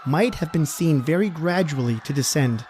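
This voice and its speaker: A man giving a scripted gothic horror narration in a measured, formal storytelling manner. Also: a little high, lively intonation, a little mellow, slight background noise.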